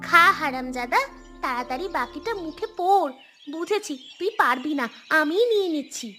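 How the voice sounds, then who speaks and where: Woman playing a very high-pitched, bossy village girl. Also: animated, pushed and bright, soft background music. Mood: bossy, scolding. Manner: scolding, quick to make excuses. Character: mischievous bossy village girl, an elder sister. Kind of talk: acted audio story dialogue.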